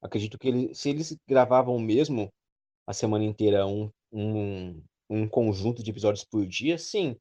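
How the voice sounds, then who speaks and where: A man's voice: lowish voice, moderate pace, dark tone, muffled recording. A Brazilian man thinking aloud in a casual roundtable discussion. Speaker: panelist on a fan roundtable.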